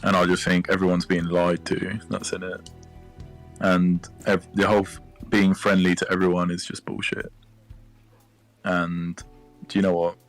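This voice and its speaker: A man with a fairly low voice speaks candidly and informally. His manner is blunt and disillusioned. Faint music plays behind his words. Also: completely flat delivery, normal speed, pauses often, low-quality recording.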